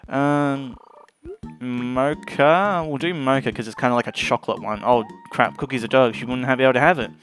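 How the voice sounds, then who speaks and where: A man's voice: mid-range voice, moderate pace, a bit bright. A man giving casual let's play commentary on a video game as its host. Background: game music.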